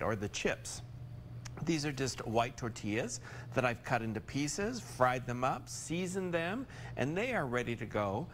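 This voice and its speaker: Lively older American man, cooking host. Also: mid-range voice, slight background noise. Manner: chatty, folksy, informal. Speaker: TV chef, cooking segment host. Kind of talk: casual cooking demonstration. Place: TV studio kitchen, indoors.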